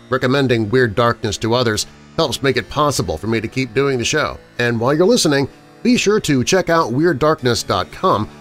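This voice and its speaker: A man hosting a podcast, reading a scripted introduction to old-time radio with theatrical, deliberate emphasis. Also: medium pitch. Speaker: podcast host. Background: background music.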